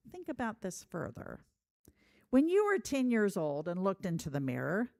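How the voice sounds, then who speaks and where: Female preacher, reflective and measured, with widely varied pitch and a dry, close sound. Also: a little mellow, no echo. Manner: measured, posing rhetorical questions. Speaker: preacher giving a sermon. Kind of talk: reflective sermon.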